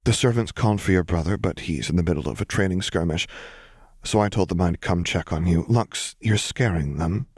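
A man voicing a caring, supportive woman knight in an audiobook story, speaking softly and encouragingly. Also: deep voice, brisk, husky, no echo, clean studio sound. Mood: gentle concern. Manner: soft, soothing, encouraging.

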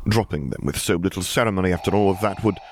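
A man's voice: deep voice, fast talker, slight background noise, natural voice. A man voicing a loyal, indignant older admiral in an audiobook, speaking with formal, emphatic military address. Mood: pleading, restrained. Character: defiant, loyal older male fleet admiral, earnest and indignant. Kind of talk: audiobook character voice.

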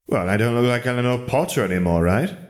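A man's voice: mid-range voice, lively intonation, fast, no echo, clean studio sound, voice pushed up, lightly acted. A man voicing a precocious, matter-of-fact little girl with a clinical, self-assured manner in a story reading. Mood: defensive.

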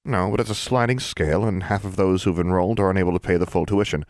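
A composed young witch, read by a man in his own low voice, cool and firm with a dry, sarcastic edge. The storybook narration sounds close and dry. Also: fairly even intonation, quick pace, darkish tone, dry recording, natural voice.

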